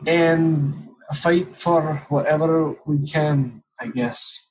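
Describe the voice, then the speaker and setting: A man's voice: fairly high pitch, drawn-out words, breathy edge, rough recording. A man playing a tabletop game, chatting casually with wry quips and jokes. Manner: wry, quipping. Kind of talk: casual joking game chat.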